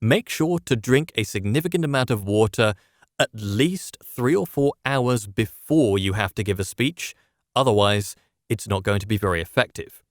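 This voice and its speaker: A man presenting scripted instructional advice, speaking in a measured, explanatory way straight to the listener. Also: lowish voice, no echo, clean studio sound. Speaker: presenter of public speaking lessons.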